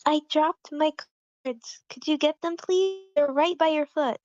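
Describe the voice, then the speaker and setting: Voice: high voice, measured pace, a little mellow, faint music behind. A performer voicing an eager, polite twelve-year-old girl in an amateur screenplay read on a lo-fi mic. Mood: polite, pleading. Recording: dull, lo-fi mic.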